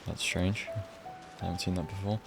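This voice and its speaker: A man's voice: low voice, flat delivery, fairly quick, creaky. A man casually commentating on a game as he plays, laid-back and half talking to himself. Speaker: game streamer. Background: game music.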